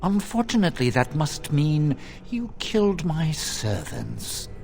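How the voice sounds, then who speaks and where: Slow, gravelly, swooping demonic villain. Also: male voice, a little high, wildly swooping pitch, a little mellow, exaggerated voice. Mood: mocking welcome. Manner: theatrical, leisurely, mock-polite. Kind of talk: fantasy video game villain acting.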